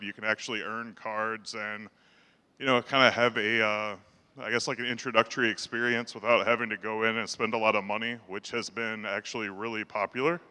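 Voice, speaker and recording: A man with a low voice giving his first conference talk, hesitant and full of fillers, holding the mic close. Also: bright, forceful tone, clean tone, in a large hall. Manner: hesitant, many fillers and restarts. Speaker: conference speaker. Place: large conference hall. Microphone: handheld mic held close.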